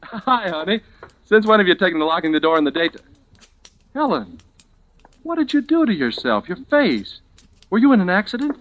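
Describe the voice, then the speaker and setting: Anxious young brother, animated old-radio delivery. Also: male voice, sits high, phone-quality sound. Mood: startled, worried. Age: young adult. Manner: plain-spoken, halting, colloquial narration. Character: anxious, protective young Californian brother telling his story. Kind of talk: 1940s radio drama acting. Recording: vintage radio broadcast with music underneath.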